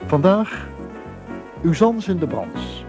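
A man's voice: fairly high pitch, wildly swooping pitch, takes it slowly. A man reading a scripted introduction to a radio play as its announcer. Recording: loud theme music behind. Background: music.

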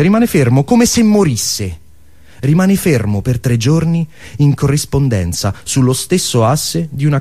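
A man delivering a theatrical storytelling monologue, posing rhetorical questions and using deliberate dramatic pauses. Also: mid-range voice, darkish tone. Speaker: monologue performer.

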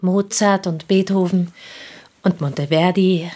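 An actress playing a reserved provincial piano teacher and confidante in a German radio play, speaking with courteous restraint. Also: female voice, lowish voice, steady tone, measured pace, warm tone. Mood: restrained.

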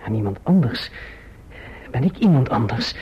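An actor playing an earnest, lovelorn young gentleman in a radio drama, frank and emphatic. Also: medium pitch, big pitch swings, moderate pace, a crowd in the background, natural voice. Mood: agitated. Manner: earnest, emphatic, well-spoken. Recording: muffled, noisy old radio recording.

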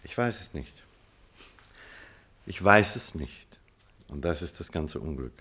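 A man's voice: very deep voice, expressive, slow pace, sharp tone, breathy edge, heavily acted. An actor playing a melancholy, wry writer in a drama, laconic and dry, reflective before his old teacher. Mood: bitter, reflective. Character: melancholy, wry male writer, former pupil of the schoolmaster. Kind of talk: theatrical radio play dialogue.

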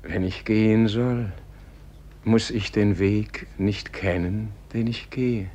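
Gentle old man in a radio play, deep-ish voice, really slow, solemn, lyrical, trails off hushed. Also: fairly even intonation. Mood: calm, questioning. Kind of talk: German radio play acting, solemn and poetic.

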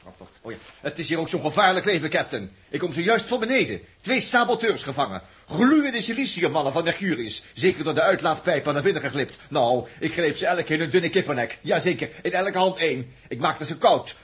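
A man's voice: a little high, lively intonation, a little fast, hardly any pauses, fairly bright tone. An actor playing a cheeky, boastful crewman in a 1960s Dutch radio drama, melodramatic and tongue-in-cheek. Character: cheeky, boastful spaceship crewman, mock-heroic. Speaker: spaceship crew member. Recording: old radio drama studio recording.

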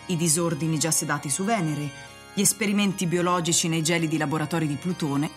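A woman narrator reading a literary radio drama in a measured storytelling manner. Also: sits low, steady tone, soft background music.

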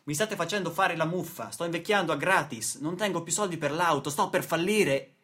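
A man's voice: on the high side, moderate pace, pitched above the performer's own voice, close to an everyday voice. A man playing a desperate, broke trainee worker in a radio play, protesting to his boss in a confrontational, colloquial way. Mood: exasperated, furious. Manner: loud, pleading, confrontational, colloquial.

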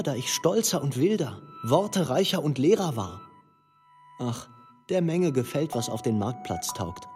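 An actor playing a proud, wounded, eccentric poet, reciting expressively in a radio production. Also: male voice, a little high, a little mellow. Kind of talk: expressive dramatic poetry recitation.

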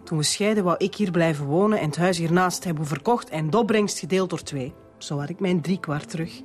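A woman's voice: on the low side, brisk, soft background music, close to an everyday voice. An actress playing a curt, defensive divorced Flemish woman under questioning in a radio drama.

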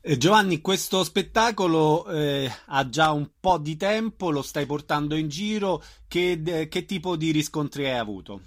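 A man's voice: fairly high pitch, animated, moderate pace, few pauses. A man working as a radio interviewer, putting questions to a guest and reading out event details. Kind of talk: interview questions and closing announcement.

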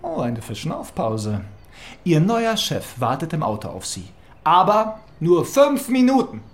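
Snobbish young detective, lively intonation. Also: male voice, fairly high pitch, a little slow, heavily acted. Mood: impatient, mocking. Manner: pompous, sarcastic, formal wording. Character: snobbish young male police detective, arch and impatient. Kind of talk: German radio crime drama acting.

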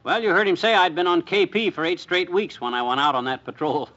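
Quick, folksy radio-comedy husband. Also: male voice, high voice, sharp tone. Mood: wry. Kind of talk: 1950s radio comedy acting. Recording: muffled old radio recording.

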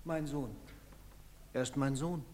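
A man's voice: mid-range voice, a little mellow, close to an everyday voice. An actor playing a desperate, bitter father in a tragic drama, speaking with grave, repeated pleading. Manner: slow, grave, repetitive pleading. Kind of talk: theatrical tragic drama acting. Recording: echoey hall, long reverb.

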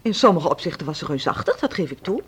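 An actress playing a sharp-tongued, opinionated woman in a radio drama. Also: deep voice, very animated, fast, husky, exaggerated voice. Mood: exasperated, critical.

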